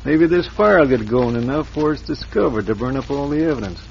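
Terse American western outlaw boss. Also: male voice, mid-range voice, lively intonation, fairly quick, gravelly, a little mellow. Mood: cold, scheming. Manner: terse, commanding, folksy. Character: ruthless, scheming Old West gang boss. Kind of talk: old-time radio western acting. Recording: muffled, very noisy vintage radio recording.

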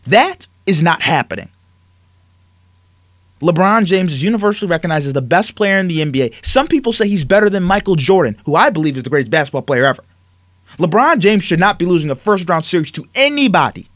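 A man's voice: high-pitched, sing-song, a little fast, fairly bright tone, no echo, studio quality. A man hosting a sports talk show, ranting emphatically with rhetorical questions, then chatting with a guest. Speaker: sports talk radio host.

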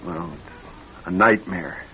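An actor in a radio drama playing a nervous young married executive troubled by bad dreams, speaking in a halting, earnest way. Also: male voice, low voice, pushed and bright, soft background music, exaggerated voice. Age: young adult. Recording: dull band-limited old radio recording.

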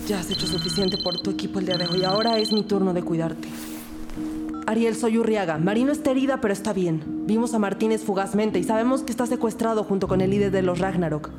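A woman's voice: mid-range voice, quick pace, over loud music, close to an everyday voice. An actress playing a loyal, resolute female police detective in an audio drama, earnest and protective. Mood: soothing, protective, then serious. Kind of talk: audio fiction acting and scripted narration.

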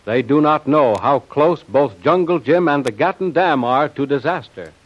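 A man's voice: a little high, animated, moderate pace. A man acting as the dramatic narrator of an old radio adventure serial, reading scripted suspense. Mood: grave, suspenseful. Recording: dull old radio recording.

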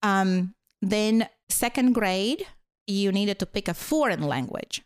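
A woman's voice: medium pitch, expressive, takes it slowly, clear, resonant tone, dry recording, clean studio sound. A woman from Latvia with a Latvian accent, speaking English as a later language and chatting as a guest, rambling with many fillers. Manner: rambling with frequent fillers and apologetic laughs. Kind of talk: casual conversational storytelling.

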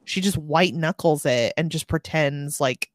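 A woman chatting casually as a podcast co-host, telling things in an animated way and acting out quotes. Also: deep voice, measured pace, no echo. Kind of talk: casual chat about a novel.

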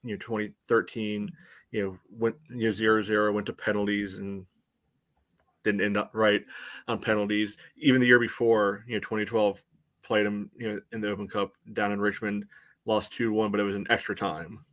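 A man with a fairly low voice gives casual sports analysis as a podcast co-host. His delivery is halting and padded, working through each point piece by piece. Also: flat delivery, fairly bright tone. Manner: halting, peppers talk with "you know".

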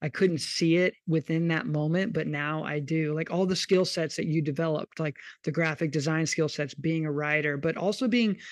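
Curious man host, high and flat. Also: normal speed, hardly any pauses, dry recording. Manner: curious, long rambling questions full of "like". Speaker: podcast host. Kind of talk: casual interview.

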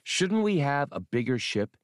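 A man's voice: mid-range voice, very animated, unhurried, no room sound, clean studio sound, pitched above the performer's own voice. A man voicing an earnest, inquiring young woman with a doubtful manner, in an adventure audiobook.

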